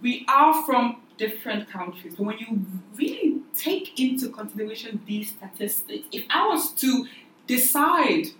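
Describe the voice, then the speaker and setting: A woman's voice: on the high side, expressive, measured pace, fairly bright tone. A young woman from Cameroon hosts a casual discussion, thoughtful and full of questions. She often restarts her sentences. Her voice sounds roomy, set back from the mic, with a faint hiss behind it. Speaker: podcast host. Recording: echoey room, mic at a distance, faint noise.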